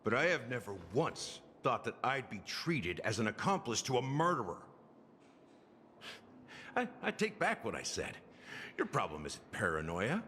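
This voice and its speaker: A man voicing a cynical, weary, rough-edged security officer in a video game, with a sardonic, theatrical delivery. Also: medium pitch, big pitch swings, moderate pace, pushed and bright, a little hiss or hum. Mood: bitter, indignant.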